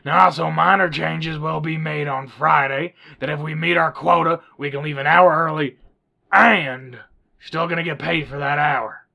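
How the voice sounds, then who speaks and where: A man playing a folksy ghoul manager, bossy but friendly, with a gravelly voice in a radio sitcom. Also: fairly high pitch, normal speed, pushed and bright, exaggerated voice. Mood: brisk, commanding.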